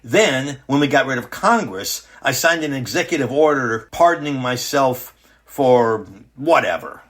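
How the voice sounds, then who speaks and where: A man playing a boastful, petulant self-crowned ruler in a satirical radio drama, pompous, dismissive and quick to interrupt. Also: medium pitch, expressive, a bit bright. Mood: smug.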